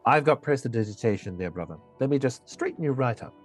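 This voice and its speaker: Easygoing wizard, lively delivery. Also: male voice, medium pitch, fast, slightly rough, soft background music. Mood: apologetic. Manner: casual, amiable. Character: easygoing, friendly male wizard adventurer. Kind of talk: fantasy audio drama acting.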